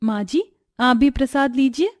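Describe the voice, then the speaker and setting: Woman as a gentle, devout young daughter-in-law. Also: high-pitched, unhurried, dry recording, studio quality. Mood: gentle, reassuring. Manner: soft, pleading, earnest.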